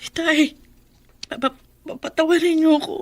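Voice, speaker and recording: A woman playing a young wife just back from working abroad in a Filipino radio drama, speaking in a tired, soft, pleading way. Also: high voice, fairly even intonation, normal speed, natural voice. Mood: tearful.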